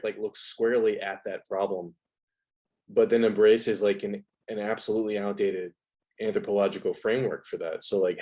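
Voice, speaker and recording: A man's voice: on the low side, a little mellow. A man speaking as an interview guest, explaining things casually with articulate, wordy, academic phrasing. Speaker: interview guest, video essay presenter.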